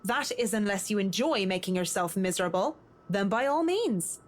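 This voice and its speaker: A worldly businesswoman in a visual novel game, voiced by an actress. She speaks in a dry, matter-of-fact way at a middling pitch, with a teasing, condescending edge and blunt confidence. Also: animated, moderate pace, close to an everyday voice. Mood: blunt advice.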